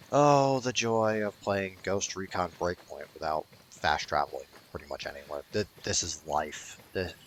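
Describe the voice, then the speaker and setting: A man's voice: low-pitched, slight background noise. A man hosting a gaming video with casual, rambling, mildly profane unscripted commentary.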